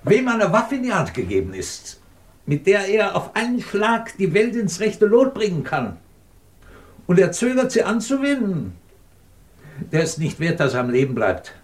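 An actor playing a ruthless, scornful British scientist in a 1950s German radio drama, cold, cutting and commanding. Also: male voice, high-pitched, sing-song, normal speed. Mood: menacing.

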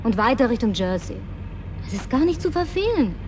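A woman's voice: fairly high pitch, very animated, fairly quick, a little hiss or hum. An actress in a radio play voicing a brusque, sarcastic, bossy woman who survived in a deserted city. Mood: dry, brisk. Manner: snappish, mocking, bossy.

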